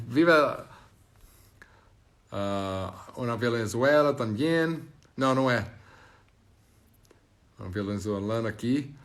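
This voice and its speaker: A Portuguese-speaking man from Brazil with a Brazilian Portuguese accent, hosting a casual live stream and reading out viewer comments, halting and searching for words. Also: mid-range voice, a bit bright.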